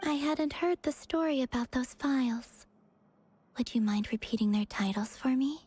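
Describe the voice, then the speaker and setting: A woman playing a polite, curious young woman in a video game, with a breathy voice. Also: high-pitched, moderate pace, a little mellow. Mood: curious, tentative.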